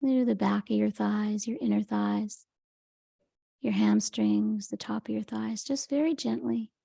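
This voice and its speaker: A woman leading a guided meditation in a gentle, unhurried, soothing manner, with a soft, breathy voice. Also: medium pitch, steady tone, dry recording. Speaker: meditation teacher. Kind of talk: guided meditation, Yoga Nidra.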